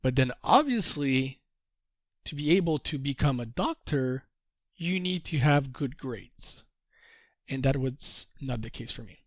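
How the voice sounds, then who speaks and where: French Canadian man, native French speaker, mid pitch, halting English, storytelling with refrains; dry, slightly dull audio. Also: lively intonation, no room sound. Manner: self-deprecating, halting English. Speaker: trading course presenter. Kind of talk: presentation to a group call.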